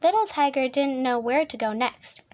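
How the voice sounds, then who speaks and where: A woman reading a children's picture book aloud in a soothing, expressive storytelling manner. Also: sits high, moderate pace, a little hiss or hum.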